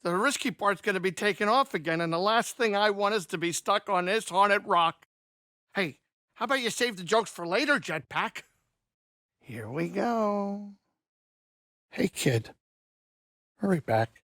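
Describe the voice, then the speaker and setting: A man playing a middle-aged alien pilot and saloon owner in a video game, anxious but kindhearted, with expressive, folksy, wry grumbling. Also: high voice, unhurried, long pauses, no echo, studio quality, full character voice. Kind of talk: video game character acting.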